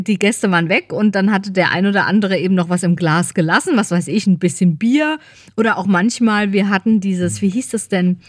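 A woman's voice: mid-range voice, dry recording. Middle-aged woman, colloquial podcast chatter, dry sound. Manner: colloquial, peppered with tag questions. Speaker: podcast co-host. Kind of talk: casual chat.

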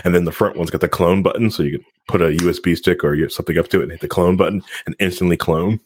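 A man's voice: sits low, fairly even intonation, very fast, darkish tone, slightly rough. A man hosting a tech talk show in casual banter, rambling and jokey, often laughing.

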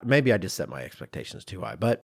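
A middle-aged man co-hosting a podcast in a conversational, animated and emphatic way, with some self-deprecation. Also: sits low, fast talker, vocal fry, breathy edge, no room sound, clean studio sound. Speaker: podcast co-host.

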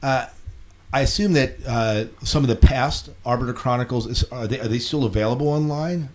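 A man hosting a casual podcast interview, asking his questions in a halting, rephrasing way. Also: mid-range voice. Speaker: podcast host.

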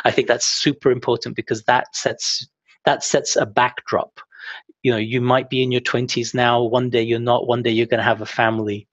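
A young adult man speaking as an interview guest, relaxed and self-deprecating with lots of fillers. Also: mid-range voice, moderate pace, no echo. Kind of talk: casual interview talk. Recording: dull, dry lo-fi sound.

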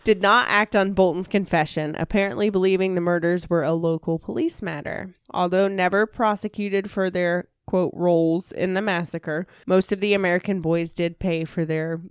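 A woman's voice: lowish voice, steady tone, moderate pace, hardly any pauses, clean tone, no echo, studio quality. A woman co-hosting a podcast, reading out a true crime story with giggly, informal, irreverent asides. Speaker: podcast co-host telling the story.

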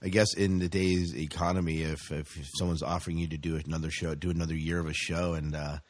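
Male podcast host, low voice, close dry sound, hesitant and measured in casual talk. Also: flat delivery, no room sound. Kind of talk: casual chat about TV shows.

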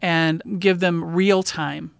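Female host, police dispatcher type, conversational case commentary. Clear, smooth voice, dry sound. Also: lowish voice, fairly even intonation, takes it slowly, no room sound.

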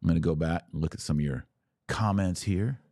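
A man's voice: low-pitched, fairly quick, mellow, no room sound, studio quality. An American man speaking as a podcast host in conversational religious commentary, emphatic, often in short repeated phrases.

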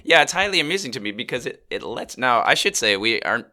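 Man with a cold, lively chatty co-host. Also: mid-range voice. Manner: chatty, halting with fillers and restarts. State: has a cold, lowered voice. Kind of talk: casual chat.

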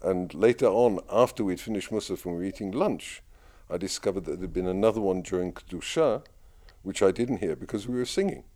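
A man who leads prayers at his community, answering interview questions in a lively, storytelling way with frequent laughs. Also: deep voice, a little fast, hardly any pauses.

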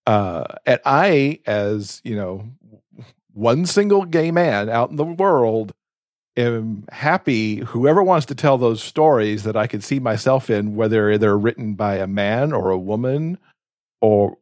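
A man's voice: fairly low pitch, darkish tone, dry recording, studio quality. A man chatting casually as a podcast co-host, speaking in a reflective, earnest way.

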